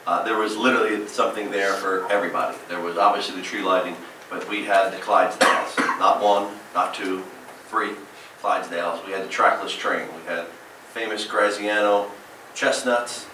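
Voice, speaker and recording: Man, New Jersey accent, mayor, upbeat council report, fairly low voice, faint room noise. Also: fairly even intonation, moderate pace, sharp tone. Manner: upbeat, listing events with frequent "uh" fillers. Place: large council chamber, indoors. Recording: echoey council chamber from far off.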